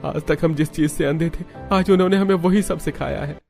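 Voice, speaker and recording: A man's voice: fairly high pitch, steady tone, fairly quick, creaky, darkish tone, faint music behind. A man playing a remorseful young village husband and son in a cartoon story, earnest and pleading. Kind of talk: Hindi cartoon story acting.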